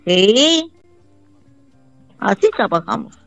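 A woman from Bolivia taking part in a call-in conversation, talking in halting, repetitive phrases with affectionate warmth. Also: mid-range voice, very animated, no room sound, muffled recording, soft background music. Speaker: caller. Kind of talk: phone-in conversation.

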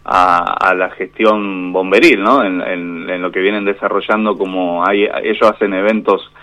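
A man with an Argentine Spanish accent, a boxing referee speaking as a guest over the phone on a radio show, measured and hesitant with many fillers. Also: low voice, steady tone, bright, forceful tone. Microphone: phone line.

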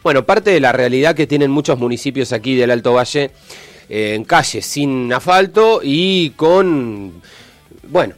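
A man's voice: medium pitch, lively intonation, measured pace, no room sound. A man with an Argentine Spanish accent co-hosting a radio show, chatting casually and reading out listener messages.

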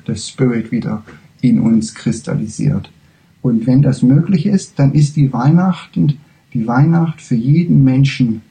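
A man giving a freely spoken spiritual lecture, haltingly, often searching for words and correcting himself. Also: mid-range voice, mellow, low-quality recording.

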